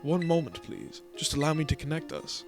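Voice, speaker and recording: A man's voice: on the high side, big pitch swings, creaky, over music. A man reading aloud the lines of a courteous, formal male academy director in a video game. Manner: courteous and measured.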